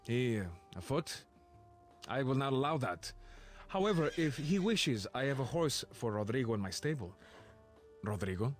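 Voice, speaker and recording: Man as animated, imperious medieval king. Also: mid-range voice, moderate pace, faint music behind, close to an everyday voice. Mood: imperious, ceremonial. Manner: grand, imperious. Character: commanding, theatrical medieval Spanish king.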